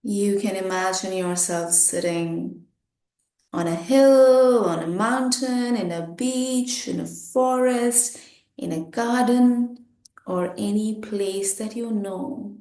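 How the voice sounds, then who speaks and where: A woman meditation teacher leading a guided meditation in short, gentle, unhurried phrases with pauses between them. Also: medium pitch. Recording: roomy, echoing sound.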